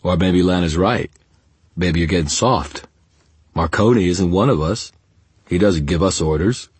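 A man's voice: low-pitched, a little slow, breathy edge, dry recording, voice pushed up. A man voicing a brutish, hot-headed mobster thug in an audiobook, with a harsh, sneering, belligerent delivery. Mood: scornful challenge. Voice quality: harsh, not nasal.

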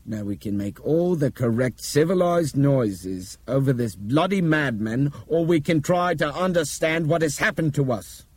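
A man performs a commanding Englishman in an audiobook thriller, urbane but grim and forceful. The carries clipped authority, pitched well above the narrator's own register, in a very dry recording. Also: breathy edge, no room sound. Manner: commanding, clipped urgency with polite turns of phrase.

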